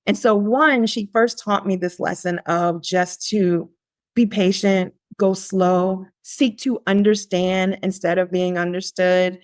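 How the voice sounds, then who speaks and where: Woman guest telling a story, lively, lots of "like"s, mid pitch, dry close mic. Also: slow pace, dry recording. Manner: animated, lively quoting of her younger self; frequent "like" fillers and restarts. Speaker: podcast guest. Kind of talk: conversational storytelling.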